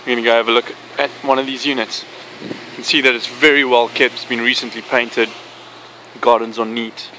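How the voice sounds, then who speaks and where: A man narrates a property tour, pointing out features in brief remarks as he moves through the space. His pitch sits in a middle register. Clear background noise runs under the recording. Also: flat delivery, a little fast, bright, forceful tone, rough recording. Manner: short descriptive remarks while walking. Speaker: tour guide filming the property.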